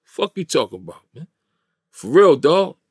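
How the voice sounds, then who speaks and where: A man in his fifties with a gravelly voice and a New York accent, telling a story in slangy, emphatic, streetwise interview talk. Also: fairly high pitch, very animated, moderate pace, a little mellow, no echo, studio quality. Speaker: interview guest. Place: small indoor recording studio, dry sound.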